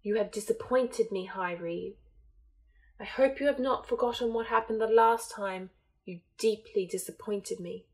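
A woman voicing a cold, menacing male dark lord who speaks slowly and with threat, in an audiobook reading. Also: on the high side. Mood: threatening.

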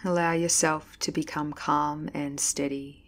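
A woman leading a guided yoga nidra meditation as an instructor, reading calmly and deliberately with measured pauses. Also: deep voice. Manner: calm, soothing, slow, deliberate pauses. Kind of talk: guided meditation, scripted narration.

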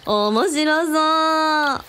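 A woman's voice: sits high, pushed and bright, full character voice. A woman playing an affectionate, girlish young sleuth with playful, quirky phrasing in a radio drama. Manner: drawn-out, playful, quirky sentence endings.

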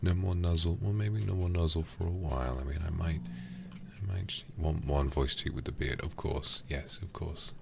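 A man with an extremely deep, creaky voice murmurs close to the microphone as he chats on a game stream. He is affectionate and drowsy, often slipping into an intimate whisper. There is clear background noise behind him. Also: normal speed, few pauses. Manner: affectionate, doting toward viewers. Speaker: livestreamer. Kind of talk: soft ASMR game-stream chat.

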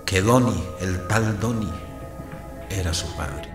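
A man's voice: deep voice, slow, reverberant, over loud music. An actor playing a guilt-ridden priest narrating his past in an audio drama. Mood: haunted, brooding. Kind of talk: scripted first-person dramatic narration.

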